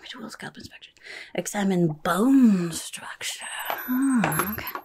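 A young woman voicing a rude, impatient goblin nurse in an ASMR roleplay, muttering in soft, breathy whispers close to the mic and repeating herself grudgingly. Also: medium pitch, expressive, slow, slight background noise. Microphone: close microphone.

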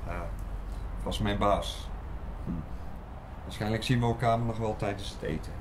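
A man's voice: lowish voice, normal speed, close to an everyday voice. Man playing a scornful journalist, noisy background. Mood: evasive, hurried. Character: brusque, scornful male journalist, evasive and needling. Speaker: supporting actor. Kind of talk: audio drama dialogue. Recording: clearly noisy ambient background.

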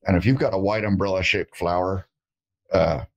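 A man's voice: sits low, fairly even intonation, normal speed, dull audio. A middle-aged man, an herbalist guest on a video call, talking in a folksy, joking, rambling way with many fillers. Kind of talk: casual interview talk. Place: indoor room with shelves of jars.

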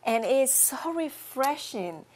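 A middle-aged woman hosting a friendly TV interview in a studio living-room set, unhurried, repeating words and laughing often. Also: high voice, expressive, a little mellow. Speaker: TV interview host.

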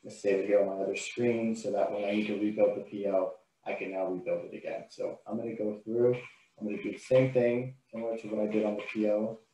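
A man giving an informal, step-by-step software tutorial as a trainer, explaining what he does on screen. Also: fairly low pitch, level tone, quick pace, a little hiss or hum. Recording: roomy, echoing sound.

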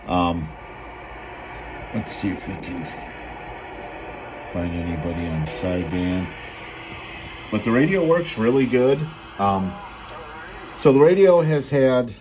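An American man giving a casual, unscripted equipment demonstration in a laid-back, halting, matter-of-fact way, recorded indoors on a handheld camera mic. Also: fairly low pitch, background noise. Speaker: seller demonstrating a restored CB radio. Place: indoors at a radio bench.

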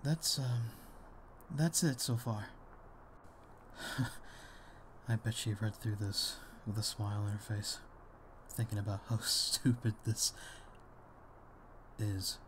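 A man playing a gentle, self-deprecating father in an ASMR roleplay, speaking softly and hesitantly to comfort his grown daughter. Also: on the low side, fairly even intonation, slow, pauses often, slight background noise. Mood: soothing, tender. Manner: hesitant, soft, soothing, with small chuckles. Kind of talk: intimate ASMR audio roleplay.

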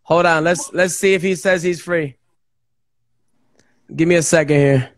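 A man in his thirties hosting a live music show, excitable and slangy, talking casually into a close studio microphone. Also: fairly high pitch, measured pace, no echo. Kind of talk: casual livestream chat. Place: small indoor room. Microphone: close studio microphone with headphones.

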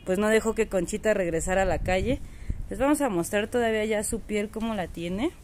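A woman's voice: medium pitch, a little fast, a little mellow, slight background noise. A woman, chatting to viewers from an outdoor patio on a phone camera. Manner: chatty and affectionate, talks to viewers. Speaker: animal rescue vlogger. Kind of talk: casual narration on camera.

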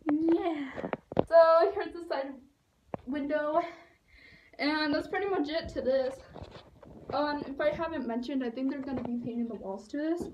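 A high-voiced teenage girl rambling and giggling into a phone held at arm's length, full of "like" fillers. She talks outdoors, and the phone audio carries a clear hiss of noise underneath. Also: measured pace, long pauses, a little mellow, poor audio. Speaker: vlogger. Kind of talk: casual vlog talk to camera. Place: outdoors by a backyard treehouse.

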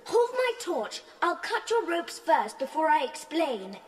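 A plucky child in an adventure audio play. The voice is extremely high, urgent and lively in pitch. It rings with a long reverb. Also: female voice, unhurried. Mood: brisk, plucky. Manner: urgent, exclamatory. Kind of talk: children's audio drama acting.

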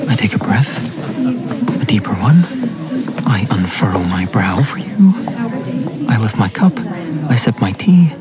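An actor playing a lovestruck young gentleman in a radio drama, poetic and self-questioning. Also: lowish voice, very animated, measured pace, pauses often, loud music behind the voice. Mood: anxious. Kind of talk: radio drama acting, interior monologue.

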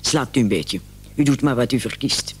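A man playing a brisk, condescending emergency doctor on night duty in a vintage radio play. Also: mid-range voice, close to an everyday voice. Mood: brisk, reassuring. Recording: old radio recording with clear hiss.

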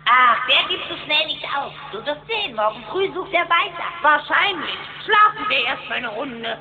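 A woman's voice: high-pitched, very animated, moderate pace, over music. A woman voicing a spirited young boy duckling, an eager and loyal nephew in a cartoon audio drama. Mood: consoling. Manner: eager, encouraging. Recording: muffled noisy old cassette.

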